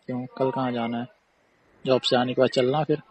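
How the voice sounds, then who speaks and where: A man's voice: mid-range voice, flat delivery, a little fast, a little mellow. A man talking casually in a recorded phone call. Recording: faint background noise on call recording.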